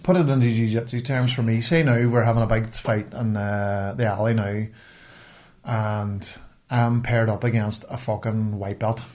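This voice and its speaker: A man's voice: fairly low pitch, steady tone, moderate pace, darkish tone. Northern Irish man in casual podcast banter. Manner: colloquial, sweary. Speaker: podcast co-host.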